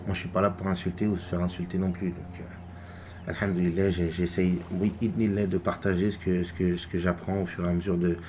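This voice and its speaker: A man from France giving unscripted religious commentary, halting and full of repeated words and fillers. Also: low voice, vocal fry, background noise. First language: French. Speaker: religious video maker.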